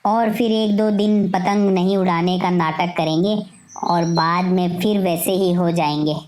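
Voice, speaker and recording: A woman voicing an indignant eagle, bitter about humans, in a deliberate scolding manner for an animated moral story. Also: medium pitch, lightly acted.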